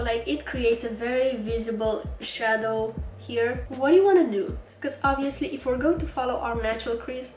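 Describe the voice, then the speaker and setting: Cheerful woman with a stuffy cold. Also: high voice. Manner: chatty, talks while working at a mirror. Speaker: makeup tutorial host. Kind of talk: casual step-by-step makeup tutorial. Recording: roomy, mic at a distance, music underneath. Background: background music.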